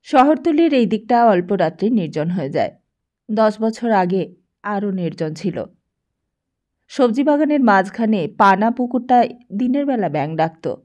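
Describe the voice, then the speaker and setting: A woman's voice: medium pitch, very animated, a little slow, long pauses, a little mellow. A woman, reading a scripted story aloud as an audiobook narrator.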